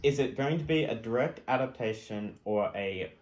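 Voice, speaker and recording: A man's voice: mid-range voice, expressive, normal speed. A man speaking as a panelist in a casual group discussion. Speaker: podcast panelist. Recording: echoey room sound.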